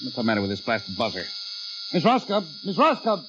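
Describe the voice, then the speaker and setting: An actor playing an irritable, dismissive office boss in 1950s radio drama style, blustery and curt, stammering when flustered. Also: male voice, mid-range voice, wildly swooping pitch, brisk, background noise. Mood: exasperated. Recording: muffled vintage 1950s radio recording.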